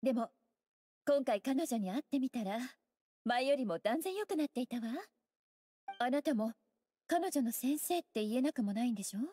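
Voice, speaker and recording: A woman voicing a graceful, teasing young scholar mage in an anime game, with elegant, playful, unhurried phrasing. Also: high voice, lively intonation, warm tone, no echo. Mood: gentle, sincere. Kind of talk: Japanese anime game voice acting. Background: music.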